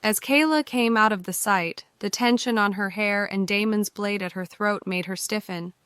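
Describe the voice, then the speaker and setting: A woman's voice: mid-range voice, moderate pace, a bit bright, clear, resonant tone, dry recording, clean studio sound. A woman reading scripted fiction narration for an audiobook.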